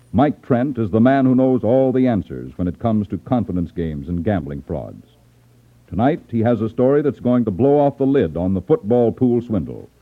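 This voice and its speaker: A male announcer reads in dramatic 1950s radio style. His lowish voice rises and falls widely, heard through a tinny, phone-like old recording.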